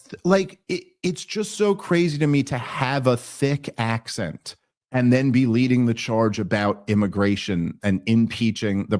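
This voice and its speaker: A man's voice: medium pitch, a little mellow. Exasperated American man, rambling podcast host. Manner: rambling, many restarts and fillers; sarcastic, exasperated. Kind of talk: casual political commentary.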